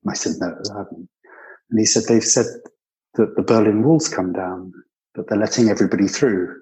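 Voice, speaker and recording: An older British man speaks in a fairly low voice, telling personal stories as an interview guest. His delivery is conversational and anecdotal, and he quotes people as he goes. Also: a little fast, warm tone, rough recording. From Britain. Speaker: interview guest recalling his years living abroad.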